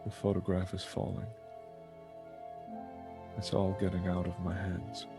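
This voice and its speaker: A man playing a calm, melancholy godlike superhuman in a fan-made drama, speaking in a measured, detached way. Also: low voice. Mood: melancholy, narrating. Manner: flat, measured, detached delivery. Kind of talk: dramatic monologue in a fan-made online play. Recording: music behind the voice.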